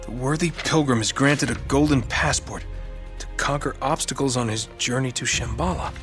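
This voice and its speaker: A man playing a cocky, quick-witted American treasure hunter in his thirties, casual and wry, in a video game. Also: medium pitch, fairly bright tone, soft background music, natural voice. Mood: intent, reading aloud. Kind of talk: naturalistic video game cutscene acting.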